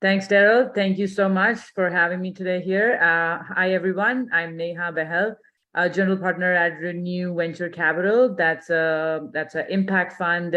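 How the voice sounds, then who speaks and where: A woman's voice: lowish voice, a bit bright. A woman, a venture capital partner, speaking in a friendly way on a panel over a video call. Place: Toronto. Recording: dull lo-fi call audio.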